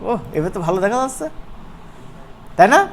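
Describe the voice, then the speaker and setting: A man with a Bangladeshi accent giving an informal, playful software tutorial as an instructor, often checking in with his listeners. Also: high-pitched, sing-song, brisk, slight background noise. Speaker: animation instructor.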